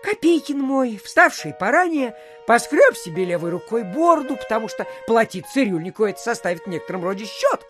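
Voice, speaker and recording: An actor playing a garrulous provincial postmaster, telling a pompous, rambling tale full of fillers and dramatic pauses in an audio play. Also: male voice, extremely high pitch, big pitch swings, fairly bright tone, faint music behind, heavily acted. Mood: confiding narration. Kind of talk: theatrical storytelling in an audio play.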